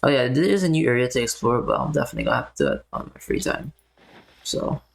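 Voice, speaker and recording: A woman's voice: very deep voice, wildly swooping pitch, fast talker, creaky. A woman giving casual, off-the-cuff commentary as she streams a game.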